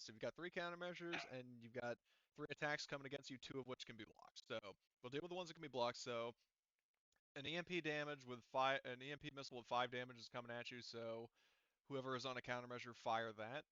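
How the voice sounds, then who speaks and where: A man runs a tabletop roleplaying game in a casual, improvised way. His voice is clear and bright, and he thinks aloud in halting phrases. The audio is dry and a little dull. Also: mid-range voice, dry recording.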